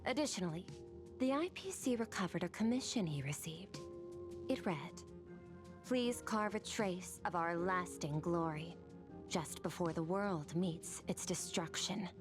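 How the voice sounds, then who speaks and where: A woman's voice: on the low side, a little slow, close to an everyday voice. A woman voicing a formal, analytical aide in a video game, precise and deferential as she reports. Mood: businesslike. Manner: precise, deferential, matter-of-fact. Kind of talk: scripted video game dialogue. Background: prominent music.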